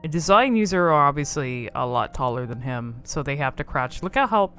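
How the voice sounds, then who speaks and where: A woman's voice: low-pitched, expressive, fairly quick, fairly bright tone, soft background music. A woman giving casual, off-the-cuff commentary on a video game in a rambling, informal, amused manner.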